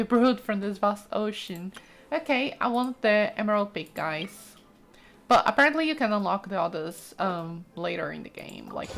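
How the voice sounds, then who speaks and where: A woman's voice: mid-range voice, creaky. A woman giving casual, chatty let's play commentary with an enthusiastic, cooing manner. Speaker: gaming video host. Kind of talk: casual let's play commentary, reading game text aloud.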